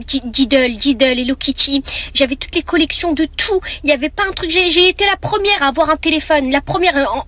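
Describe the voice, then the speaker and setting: A woman in her twenties from France, telling a personal story in a casual, animated way and sprinkling in Arabic phrases. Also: high-pitched. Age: 20s. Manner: animated, fast, chatty, mixes Arabic phrases. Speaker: content creator.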